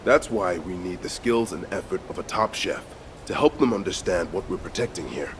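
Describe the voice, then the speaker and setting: A man's voice: deep voice, lively intonation, moderate pace, background noise. A man voicing a persuasive, teasing comrade in a video game, with a coaxing, knowing delivery. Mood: coaxing, serious. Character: persuasive, teasing male comrade giving missions.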